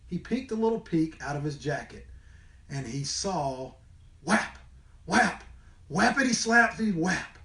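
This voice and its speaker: High-voiced man reading a storybook aloud. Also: sing-song, a little slow, bright, forceful tone. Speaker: storybook reader, teacher. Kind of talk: expressive children's read-aloud. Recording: roomy indoor echo.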